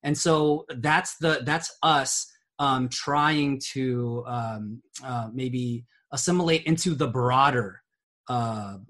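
A man's voice: mid-range voice, takes it slowly, fairly bright tone. Earnest man thinking aloud on a podcast. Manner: earnest, searches for words with many "um"s and "right?". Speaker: podcast co-host. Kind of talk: casual podcast discussion.

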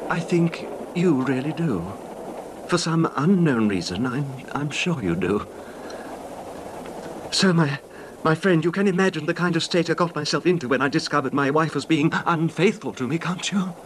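A man's voice: animated, moderate pace, lightly acted. An actor playing a nervous, apologetic, well-off little man in a radio drama, speaking tentatively and deferentially. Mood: confiding. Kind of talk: old-time radio horror drama acting. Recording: noisy radio recording, train rumble.

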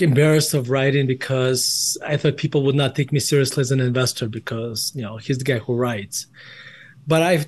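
A man in his forties with a Russian accent, a guest in an interview, often restarting and repeating words as he explains. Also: a little high. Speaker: guest, investment firm CEO. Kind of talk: conversational interview answers.

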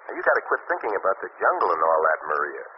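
A man announcing and acting in 1940s radio drama style, dramatic and often shouting. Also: sits low, huge pitch swings, racing. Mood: uneasy. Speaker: announcer and actor. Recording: muffled old radio broadcast with hiss.

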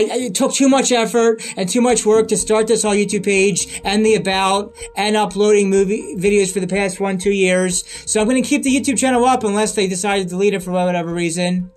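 Guy with a pretty high voice going on and on, repeats himself a lot, bit of music in the back. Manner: repetitive, restarts phrases, earnest. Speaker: podcaster and video creator. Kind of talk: rambling solo update.

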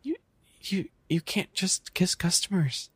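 A man's voice: high-pitched, animated, a little slow, background noise. A man voicing a bashful, fussy young animal-boy maid café server in an ASMR roleplay, stammering and pouty. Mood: embarrassed, scolding.

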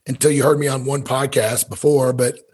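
American guy in his 50s from missouri, kinda raspy, folksy rambling podcast talk. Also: mid-range voice, little pitch movement, fairly quick, darkish tone. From Missouri, USA. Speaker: podcast guest, elk hunter and business owner. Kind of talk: casual conversational storytelling.

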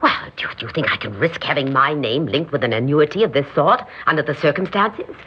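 An actress in an old-time radio drama playing an imperious, grandiose, scheming society hostess with a commanding, theatrical delivery. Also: female voice, low voice, lively intonation, brisk, a little hiss or hum. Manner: theatrical, commanding, self-assured. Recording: dull, muffled old radio recording.

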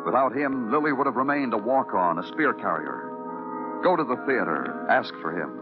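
An actor playing a somber police detective with measured, literary phrasing in an old-time radio noir drama. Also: male voice, medium pitch, lively intonation, over music, close to an everyday voice. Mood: grave narration. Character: somber, poetic male police detective narrating his case. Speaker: lead actor and narrator. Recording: tinny, band-limited vintage radio recording. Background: dramatic musical score.

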